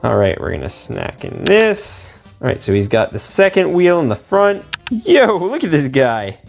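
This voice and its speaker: A man giving excitable, slangy, playful commentary as he builds toys for a video. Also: fairly high pitch, a little slow, creaky, faint music behind. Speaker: toy-building video host.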